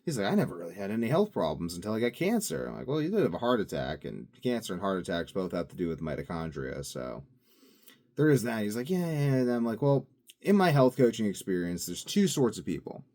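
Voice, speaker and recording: A man's voice: fairly low pitch, big pitch swings, a little fast, a little mellow. A young man in a casual daily video diary, rambling and upbeat with lots of fillers and restarts. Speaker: vlogger.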